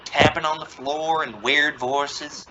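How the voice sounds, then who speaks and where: A man's voice: fairly high pitch, steady tone, slow. A man playing an exasperated, sarcastic middle-aged father in an old-time radio sitcom, flustered and bluffing confidence. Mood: insistent, irritated. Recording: dull, noisy lo-fi radio play recording.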